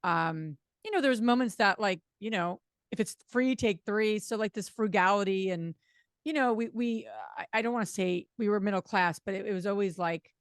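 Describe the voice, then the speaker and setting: A middle-aged woman with a slight New Jersey accent chats quickly, full of fillers. Her pitch sits in the middle, and the sound is dry and close to the mic. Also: smooth, no echo. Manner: fast-talking, chatty, many fillers. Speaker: guest, real estate investor. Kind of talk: casual interview talk.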